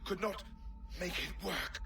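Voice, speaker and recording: An actor playing a wounded, bitter enemy who speaks slowly and coughs in a video game. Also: male voice, high-pitched, gravelly, sharp tone, recorded from a distance, soft background music, heavily acted. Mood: scornful. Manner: slow, scornful, coughing.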